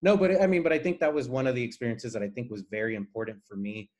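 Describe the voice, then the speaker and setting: A man's voice: mid-range voice, steady tone, quick pace, a little mellow. A man from Nicaragua whose first language is Spanish, giving earnest, explanatory answers as a guest engineer in a conversational interview.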